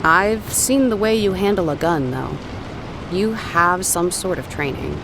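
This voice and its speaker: A woman's voice: on the low side, lively intonation, unhurried, background noise. An actress playing a guarded, clever woman who tinkers with tech on a prison island, in an audio drama. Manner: guarded, explanatory. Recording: music under the voice.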